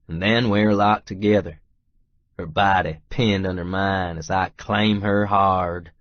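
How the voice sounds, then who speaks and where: Male romance audiobook narrator: low register, slow measured read in short phrases. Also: dry recording, muffled recording.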